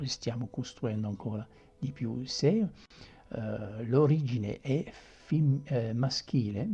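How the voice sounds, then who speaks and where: Guy teaching grammar, super slow, raspy voice, muffled with soft music behind. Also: mid-range voice. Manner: patient, repeats examples slowly, checks with ok. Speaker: French teacher. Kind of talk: grammar lesson in Italian with French examples.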